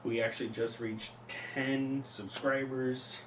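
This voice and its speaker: A young man in his twenties speaks in a medium-pitched voice, caught in an echoey space some way from the microphone with clear background noise. He rambles casually and haltingly, thinking aloud and putting questions to himself as a vlogger would. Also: level tone. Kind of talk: casual self-reflective vlog talk. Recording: echoey, noisy, distant from mic.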